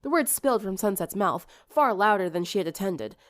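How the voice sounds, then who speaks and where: A woman's voice: mid-range voice, lively intonation, fairly quick. A woman reading scripted fiction aloud as an audiobook narrator.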